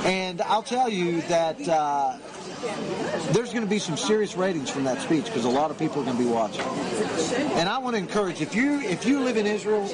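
A man hosting a talk show from a cafe, with a loud voice, fervently urging his listeners. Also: sits high. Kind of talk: opinionated political commentary. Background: cafe crowd chatter.

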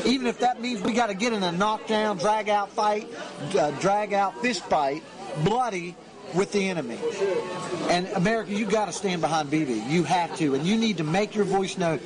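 A man hosting a talk show from a cafe, with a loud voice, fervently urging his listeners. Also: high voice. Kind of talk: opinionated political commentary. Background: cafe crowd chatter.

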